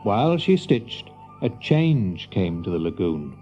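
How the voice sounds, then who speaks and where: A man's voice: fairly low pitch, big pitch swings, measured pace, dark tone, lo-fi sound. A man narrating a children's story in a dramatic storybook style. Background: music.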